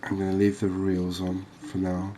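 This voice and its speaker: A soft-spoken man giving ASMR gameplay commentary, thinking aloud with pauses. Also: sits low, completely flat delivery, a little hiss or hum. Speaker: gaming video host.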